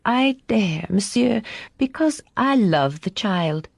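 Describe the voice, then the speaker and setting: A woman narrator acts a dignified shopkeeper madame in an audiobook. She is proud and frank, with a raised pitch. The sound is close and dry. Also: expressive, slow, vocal fry, a little mellow, no echo, heavily acted. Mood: proud, firm. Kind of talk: audiobook character voice.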